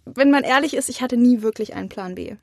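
Young woman, highish voice, candid chatty storytelling, really dry close sound. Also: fast, darkish tone, no room sound. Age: young adult. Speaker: interview guest, acting student. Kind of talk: casual chat, telling anecdotes.

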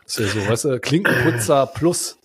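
Husky, chatty German man podcasting. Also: mid-range voice, expressive, normal speed. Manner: chatty, rambling with fillers and restarts. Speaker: podcast co-host. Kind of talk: casual chat.

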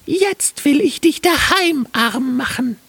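A man's voice: extremely high pitch, expressive, slow pace, vocal fry, fairly bright tone, pitched above the performer's own voice, exaggerated voice. A man voicing a small, vengeful, taunting sparrow in a fairy tale.

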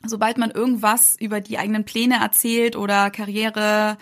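A woman's voice: medium pitch, level tone, normal speed, no echo. Young german woman rambling along in an interview, lots of ums, dead dry sound. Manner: chatty, many fillers, mimics others in quotes. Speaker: guest, content creator. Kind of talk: casual interview chat.

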